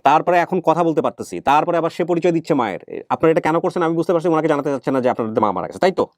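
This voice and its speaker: A man's voice: a little high, expressive, very fast, darkish tone, no room sound, studio quality. A man with a Bangladeshi accent hosting an interview show, speaking in a firm, instructing way and questioning his callers. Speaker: reunion show host.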